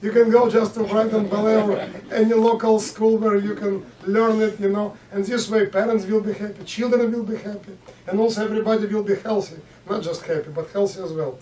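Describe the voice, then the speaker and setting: A man's voice: high voice, steady tone, fairly quick, few pauses, dark tone. A man hosting a community forum, addressing panelists and putting questions to them. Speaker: forum moderator and host. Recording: roomy, distant mic, faint noise.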